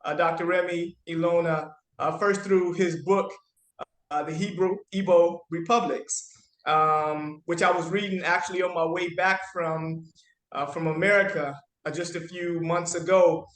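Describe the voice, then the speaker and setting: A man hosts an interview in a halting, formal way, pausing often between phrases. His voice sits a little higher than most men's. The room around him rings with a noticeable echo. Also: fairly even intonation, a bit bright. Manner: halting, with frequent pauses. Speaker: interview host.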